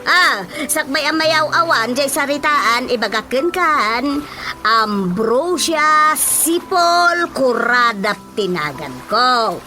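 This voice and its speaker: A woman with a Filipino accent playing a proud, chatty village councilor in a radio comedy-drama, self-important and given to drawn-out, emphatic exclamations. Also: high voice, animated, sharp tone, soft background music, full character voice. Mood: boastful.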